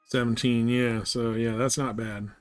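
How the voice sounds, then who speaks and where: A man's voice: mid-range voice, little pitch movement, a little slow, faint music behind. Laid-back man streaming a space game. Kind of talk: casual chat while playing a space game live.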